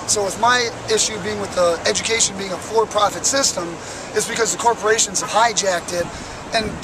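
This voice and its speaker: A young man with a raspy, worn voice, speaking earnestly and with passion as a protester in an outdoor street interview, with frequent fillers. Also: high-pitched, background noise. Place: outdoors on a city plaza by traffic.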